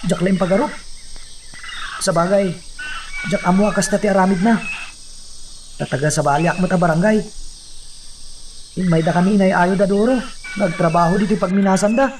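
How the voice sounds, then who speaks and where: An actor playing a stubborn, defiant captive, earnest and emotional, in a radio drama recorded at a distance from the mic. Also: male voice, high voice, fairly quick, long pauses, a little mellow, a little hiss or hum, natural voice. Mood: troubled.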